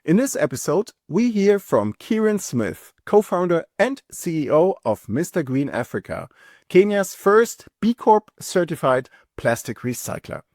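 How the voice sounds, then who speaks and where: A man hosting a podcast interview, reading a lively intro and asking questions in a softer, hesitant way. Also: high voice, unhurried, no room sound, studio quality. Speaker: podcast host.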